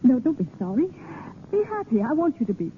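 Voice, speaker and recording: Lovelorn brave young heroine, highish voice, melodramatic, pleading, thin noisy 1930s radio play. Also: female voice, very animated, a little fast, narrow, tinny audio. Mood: wistful. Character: devoted, lovelorn young woman, brave and self-sacrificing. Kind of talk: 1930s radio drama acting.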